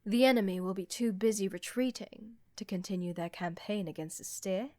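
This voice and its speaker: A woman playing a sly, teasing, confident empress in a fantasy audio roleplay, with a smooth-talking manner. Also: medium pitch, normal speed, dark tone, dry recording, lightly acted. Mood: confident, persuasive.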